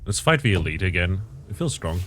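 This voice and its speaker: A man's voice: low-pitched, sing-song, brisk, a bit bright, a little hiss or hum. A man giving casual gameplay commentary, thinking aloud with self-corrections, his voice strained lately.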